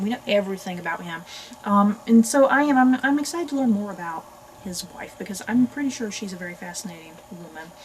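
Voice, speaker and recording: A woman's voice: medium pitch, slight background noise, rough recording. An American woman hosting a book channel, chatting casually and expressively to viewers as she reads synopses aloud. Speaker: book review channel host.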